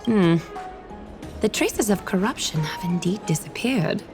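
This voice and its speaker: A woman's voice: medium pitch, lively intonation, a little slow. A woman voicing a cold, shrewd demigod noblewoman in a video game, formal, commanding and dryly sardonic. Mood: stern, watchful. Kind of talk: dramatic game character voice acting. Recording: music under the voice.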